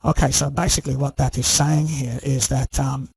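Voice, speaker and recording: A man's voice: mid-range voice, flat delivery, normal speed, gravelly, slightly rough, no room sound. A man delivering a rambling, scornful rant to his viewers, full of "you know" and "okay?". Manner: scornful and insistent. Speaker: channel host. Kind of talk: ranting monologue to camera.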